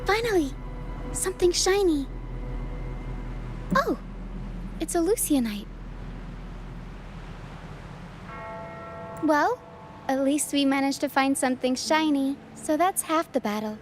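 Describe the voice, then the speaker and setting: A woman playing a calm, quirky young female adventurer in a video game, measured and gently playful. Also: high-pitched. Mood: musing. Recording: loud game music behind the voice. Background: game music.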